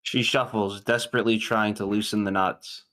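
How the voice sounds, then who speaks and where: Guy reading script directions, kinda low voice, measured narration. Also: level tone, fairly bright tone. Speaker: stage directions reader.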